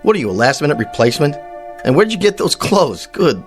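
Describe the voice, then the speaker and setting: An actor with an American accent playing a vain, petulant, bullying cowboy star in a radio drama. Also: male voice, fairly high pitch, very animated, a little fast. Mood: indignant, bewildered. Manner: whiny, bullying, self-important. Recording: faint music behind the voice.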